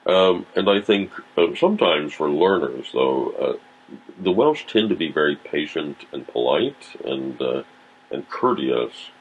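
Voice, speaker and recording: A man's voice: a bass voice, expressive, measured pace, husky. A man from Tennessee, a podcast guest talking reflectively in casual conversation and searching for his words. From Tennessee, USA. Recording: tinny phone-like sound.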